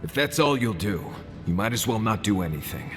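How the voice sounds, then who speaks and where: A man's voice: lowish voice, big pitch swings, brisk, music in the background. An actor playing a jaded but earnest man in a fantasy game, with a dry, urgent and sardonic way of talking. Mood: insistent. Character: jaded, earnest man returning to warn his homeland. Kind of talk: dramatic fantasy game acting.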